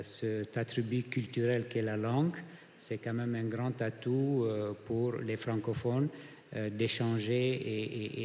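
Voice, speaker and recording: A man from Mali speaking on a conference panel as a hotel group founder, measured with frequent hesitations. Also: medium pitch, a little mellow, slight background noise. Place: conference hall. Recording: reverberant conference hall.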